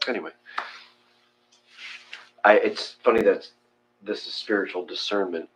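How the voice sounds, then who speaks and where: A man in a casual, reflective discussion, thinking aloud in a halting way and trailing off. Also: fairly low pitch, fairly even intonation, fairly bright tone. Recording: muffled, with faint hum.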